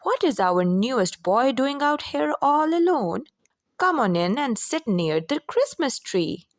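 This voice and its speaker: A storyteller plays a kindly woman in charge of a children's home in a picture book. She is welcoming and motherly, with a high voice that swings expressively. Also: sing-song, measured pace, dry recording, clean studio sound, exaggerated voice. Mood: surprised, welcoming.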